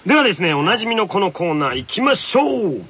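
A man's voice: high-pitched, big pitch swings, fast talker, pushed and bright, slightly rough. A man co-hosting a radio show in casual chat.

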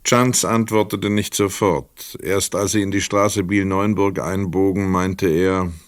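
A man with a Swiss German accent narrating a radio play in a measured, sober way. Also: low voice, flat delivery, dry recording, studio quality.

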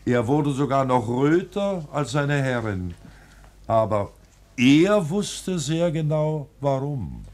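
A man's voice: mid-range voice, big pitch swings, takes it slowly, darkish tone. A man narrating a storybook tale in a 1940s radio play.

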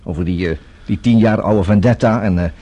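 An actor playing a sceptical, urbane bachelor journalist in a Dutch radio play, drily casual with small hesitations. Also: male voice, fairly low pitch, fairly quick, mellow, highs cut off, natural voice.

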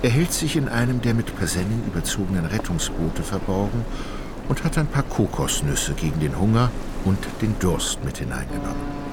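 A man narrating a radio play in a measured, formal literary style. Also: fairly low pitch, big pitch swings, very noisy. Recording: loud music and background effects.

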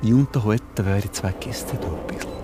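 An actor playing a domineering, sarcastic hijacker with an Austrian accent and colloquial dialect in a radio drama. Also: male voice, lowish voice, brisk, background noise, natural voice. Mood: bossy, sarcastic. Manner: bossy, sarcastic, lecturing. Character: domineering hijacker, a conspiracy-minded man holding two hostages.

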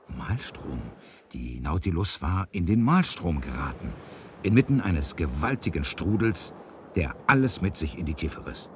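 A man narrating a scripted 1970s adventure audio drama, measured, with dramatic emphasis. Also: deep voice, slight background noise.

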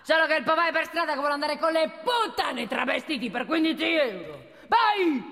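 Brazen, bright-voiced transgender streetwalker. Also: female voice, high-pitched, animated, a little fast. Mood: scornful, angry. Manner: cajoling, mocking, streetwise. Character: brazen transgender street prostitute, coaxing and sharp-tongued. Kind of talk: theatrical monologue for radio. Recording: reverberant theatre hall, music behind.

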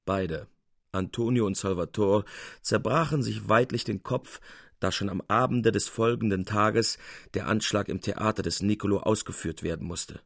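Expressive male literary narrator, dry sound. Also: on the low side, vocal fry, no echo. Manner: expressive, measured storytelling. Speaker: audiobook narrator.

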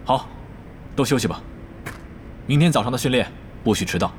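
A man acting a serious young esports team captain in an audio drama, speaking in a measured, earnest, formal way. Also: medium pitch, lively intonation, a little hiss or hum, close to an everyday voice. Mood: calm, authoritative.

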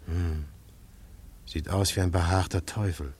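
A fairly deep male voice with a husky grain. It is an observant newcomer in a radio play, reflective and full of wonder. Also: fairly even intonation, a little slow, darkish tone. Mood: wondering, curious. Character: observant, fascinated male newcomer among conspirators, narrating. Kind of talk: German radio play acting.

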